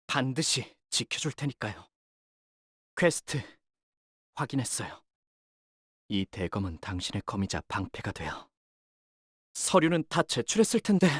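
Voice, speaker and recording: Young male game fixer, dutiful, anxious, courteous, animated intonation, dry studio sound. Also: a little high, breathy edge, dry recording, full character voice. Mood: resolute. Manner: polite, soft-spoken, flustered under pressure. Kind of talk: expressive game character voice lines.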